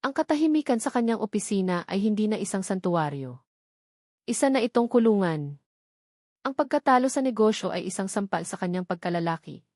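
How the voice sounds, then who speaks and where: Female Filipino storyteller for romance drama narration; mid-pitched, dramatic scripted read, dry close-mic sound. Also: darkish tone, dry recording.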